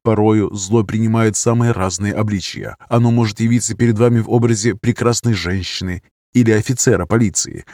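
A man's voice: lowish voice, mellow, no echo, studio quality. A man reading scripted, dramatic narration as an audiobook narrator.

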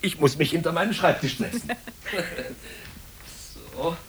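An actor playing a courteous, guarded company director in a German radio play, polished and formal in manner. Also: male voice, on the high side, lively intonation, a little slow. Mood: smooth, attentive. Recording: hissy vintage radio drama recording.

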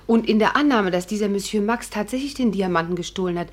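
An actress playing a witty, sceptical amateur adventuress in a 1950s radio drama, with an arch, playful manner. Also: female voice, mid-range voice, fast talker, lightly acted. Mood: curious. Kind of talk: 1950s German radio drama acting and narration.

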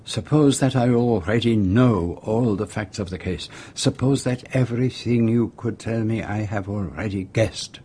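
An actor playing a shrewd, courteous detective with a French-speaking Belgian accent, speaking formally and precisely in a radio drama. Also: male voice, fairly low pitch, warm tone, husky. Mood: firm, commanding. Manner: formal, measured, precise phrasing. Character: shrewd, courteous male detective, self-assured and commanding.

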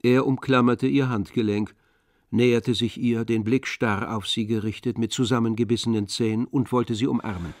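A man narrating a literary radio drama from a script, measured and composed. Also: medium pitch, steady tone, no room sound, studio quality.

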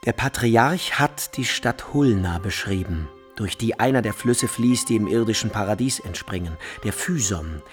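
An actor playing an eccentric, obsessive medieval wandering scholar in a German radio play, pedantic, theatrical and emphatic. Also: male voice, fairly low pitch, lively intonation, normal speed, few pauses, natural voice. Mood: earnest recounting. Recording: studio radio drama over music.

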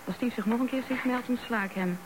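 An actress playing the brisk, impatient wife of a businessman in a radio drama. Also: on the high side, natural voice. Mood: exasperated, irritated. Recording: noisy radio drama recording.

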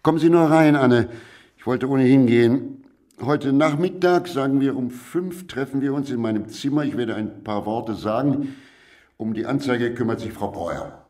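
An actor playing a sober, slightly awkward senior academic in a radio play, speaking in short, businesslike sentences. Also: male voice, mid-range voice, moderate pace, a little mellow, lightly acted. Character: sober, somewhat awkward senior faculty head. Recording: slightly distant room pickup.

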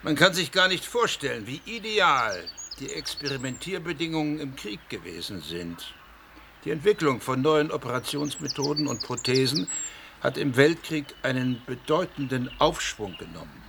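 A man's voice: mid-range voice, very animated, normal speed, vocal fry, noisy background. An actor playing a proud, self-assured older surgeon who lectures his guests in a radio play. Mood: lecturing.

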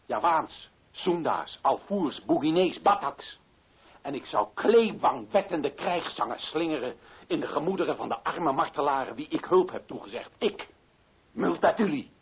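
An actor playing an impassioned, indignant author declaiming against colonial injustice in a radio play, his voice husky and his delivery emphatic. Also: on the high side, exaggerated voice. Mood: grave defiance. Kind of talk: dramatic radio play monologue. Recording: tinny, phone-like narrow-band sound.

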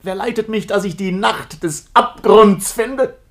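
An actor playing a guilt-ridden, horrified son in a classical radio play, declaiming in frantic anguish. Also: male voice, sits high, measured pace. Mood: horrified despair.

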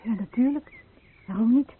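A woman's voice: fairly high pitch, normal speed, husky. An actress playing a troubled, defensive yet polite female patient, hesitant and emotional, in a radio drama. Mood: polite, curious. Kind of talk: Dutch radio drama acting. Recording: noisy phone-like old radio recording.

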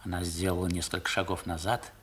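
A man's voice: low-pitched, flat delivery, fast, fairly bright tone, a little hiss or hum. A man narrating a 1960s radio play as a grown storyteller recalling boyhood, in a dramatic literary manner, on an old recording. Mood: reflective.

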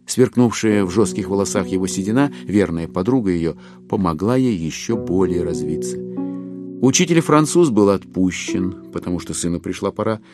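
Deep-voiced, expressive male narrator. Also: moderate pace, music in the background. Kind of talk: scripted dramatic literary narration.

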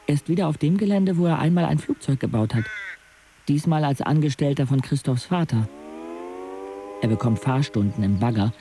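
An actor playing a wry, observant young man who narrates his own life in a measured, reflective way in a radio drama. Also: medium pitch, dark tone, slight background noise, close to an everyday voice.

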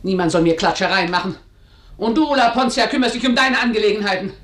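A woman's voice: medium pitch, quick pace, a bit bright, natural voice. An actress playing a stern, tyrannical mother in a radio drama, with a commanding, imperious manner. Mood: imperious, severe. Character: stern, tyrannical mother ruling her household. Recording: roomy, echoing studio sound.

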